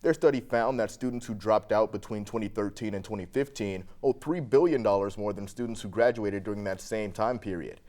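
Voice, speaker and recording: Low-pitched American male news anchor. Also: fairly quick, few pauses, a little mellow. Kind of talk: scripted local news read.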